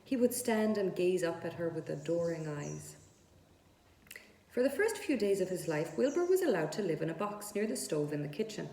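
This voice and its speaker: Woman reading a kids book out loud, soft mellow tone, echoey room. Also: on the low side, sing-song, moderate pace. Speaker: read-aloud storyteller. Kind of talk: expressive children's book narration.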